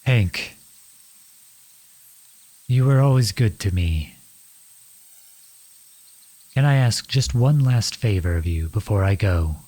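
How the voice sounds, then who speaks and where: A man performs a young outlawed prince in an audio roleplay drama. The voice sits slightly low and is rough and breath-worn at the edges. He speaks slowly and deliberately, with earnest, wounded defiance. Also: animated, dark tone. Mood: resigned, sorrowful. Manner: earnest, deliberate, pleading and accusing. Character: defiant outlawed young prince confronting his cruel king father.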